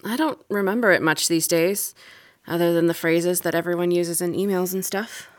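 A woman acting a weary, resentful wife in an audio drama, speaking in a measured, pointed way with quiet bitterness. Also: lowish voice, steady tone, natural voice. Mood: guarded, subdued.

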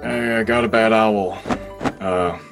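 A man's voice: on the low side, steady tone, slow pace, over music. A man playing an anxious, pleading young wizard in an improvised comedy sketch, stammering and repeating his words.

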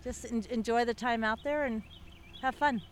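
A woman's voice: on the high side, fairly even intonation, normal speed. A woman, a guest on a golf podcast, talking in an easygoing, encouraging way. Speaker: guest, avid golfer. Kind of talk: casual interview answers.

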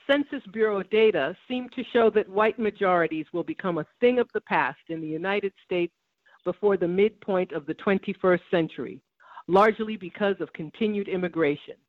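Male radio host, steady scripted read, high pitch, dry sound. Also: normal speed, dry recording, phone-quality sound. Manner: steady, announcer-like. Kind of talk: scripted news narration.

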